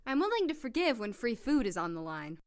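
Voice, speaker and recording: A woman's voice: a little high, very animated, fairly quick. A young woman playing a chatty, upbeat doctor new in town in an audio drama, with a jokey, nervous rambling manner. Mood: cheerful.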